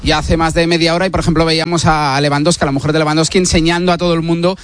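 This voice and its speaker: A high-voiced male field reporter speaks fast from the street outside a stadium. He narrates the scene briskly and stops fans for quick questions. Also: little pitch movement, clear, resonant tone.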